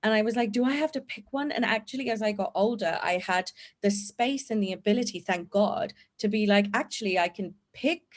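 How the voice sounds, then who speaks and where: A woman speaks as a podcast guest. She is candid and animated, laughs easily, and has a medium-pitched voice. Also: fairly quick, few pauses. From England. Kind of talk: conversational podcast discussion.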